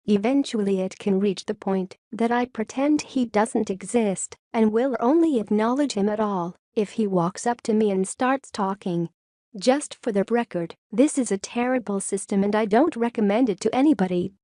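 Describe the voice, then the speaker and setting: A woman reading forum posts aloud in a steady, even reading voice. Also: mid-range voice, normal speed, no echo, clean studio sound.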